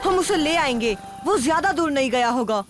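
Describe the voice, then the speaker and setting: A brave jungle boy in a cartoon, who sounds friendly and encouraging, with a high youthful voice. Music runs behind the dialogue. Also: expressive, moderate pace. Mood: reassuring. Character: brave young jungle boy, kind leader. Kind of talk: cartoon dubbing. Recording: music bed under the dialogue.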